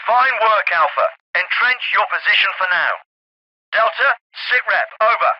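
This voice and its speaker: An actor playing an authoritative captain with a clipped, commanding delivery in a military video game. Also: male voice, sits high, animated, normal speed, dry recording, dull audio. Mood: commanding, businesslike. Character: authoritative male Royal Navy special forces captain. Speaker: military officer, squad commander. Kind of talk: scripted military game dialogue.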